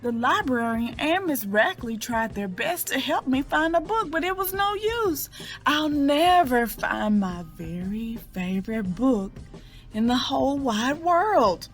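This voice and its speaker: A woman reading a picture book aloud to children in an expressive storytelling style, drawing out key words. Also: high-pitched, a little slow, soft background music.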